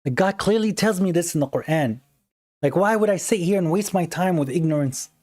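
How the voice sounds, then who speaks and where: A man hosting a live call-in religious debate on a phone stream, explaining his points earnestly and briskly. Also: sits high, lively intonation, darkish tone. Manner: earnest, explanatory, quick to dismiss callers. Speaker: livestream host debating callers. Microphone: phone livestream.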